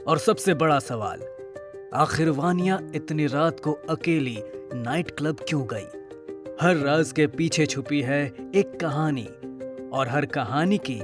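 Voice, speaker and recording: Casting: teaser narrator, mid pitch, drawn-out suspenseful pacing over a clear music bed. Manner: suspenseful, deliberate. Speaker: teaser announcer. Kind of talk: scripted dramatic promo narration.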